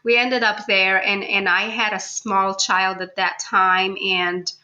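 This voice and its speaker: A woman's voice: mid-range voice, level tone, slow, pushed and bright. A woman from Egypt talking casually and informally as a guest in an interview, chatty and given to laughing. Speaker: podcast guest, food blogger.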